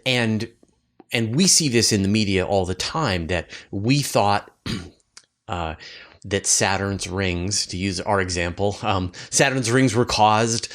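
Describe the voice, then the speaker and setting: A man's voice: fairly low pitch, slow, fairly bright tone. A man hosting an interview, talking through ideas in a conversational way. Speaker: interviewer and show host.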